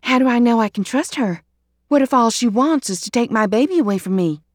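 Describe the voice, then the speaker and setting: A woman's voice: lowish voice, moderate pace, darkish tone, no echo, clean studio sound, natural voice. A woman voicing a distrustful young mother who speaks tensely and defensively. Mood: anxious. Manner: tense, raised and clipped.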